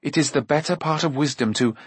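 A man's voice: fairly high pitch, brisk, breathy edge, no echo. Man playing an earnest, firm young adventurer. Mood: pleading. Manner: grave, firm, urgent. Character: earnest, worried young male adventurer, stern and pleading.

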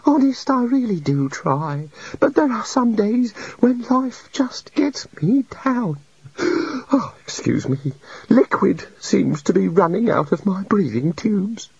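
A timid, mournful god who frets over his own beard and powers, swooping up high and down again in a thin, fussy voice, as a male narrator puts him on. The recording is dry and a little dull. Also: animated, measured pace, husky, no room sound, exaggerated voice. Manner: sad, fussy, querulous. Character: meek, gloomy male island god, bearded. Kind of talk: audiobook character reading.

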